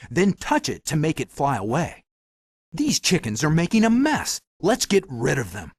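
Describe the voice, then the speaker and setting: A man's voice: fairly high pitch, dry recording, exaggerated voice. A man voicing a talking magic mirror in a video game, giving hints in a theatrical, playful, wry way. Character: talking magic mirror guide, male, animated and helpful. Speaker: video game hint-giver. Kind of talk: scripted game voice acting, hints and quips.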